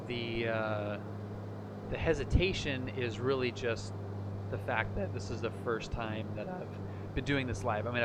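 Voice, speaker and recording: Halting man streaming over loud engine drone. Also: mid-range voice. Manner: halting, thinking aloud. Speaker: flight simulator streamer. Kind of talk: casual live stream chat while flying a simulator. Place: indoors, live streaming at a computer. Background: loud simulated aircraft engine noise.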